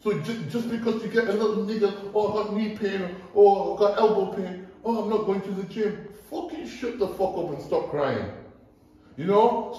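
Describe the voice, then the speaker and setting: Man, blunt and profane, motivational gym talk, fairly high voice, echoey hall, distant mic. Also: mellow. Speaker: gym owner giving a seminar. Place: large echoey gym indoors.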